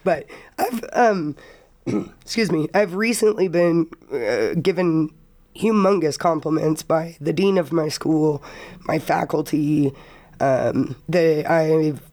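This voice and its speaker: A hoarse young woman, a student guest on a college radio interview, speaking earnestly and reflectively while sick. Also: sits low, takes it slowly, darkish tone. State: hoarse from illness. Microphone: studio microphone.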